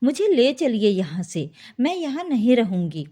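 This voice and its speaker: A woman's voice: a little high, big pitch swings, measured pace, dark tone, husky, heavily acted. A woman voicing a distressed young married daughter pleading with her parents in a story. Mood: aggrieved pleading. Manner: aggrieved, pleading.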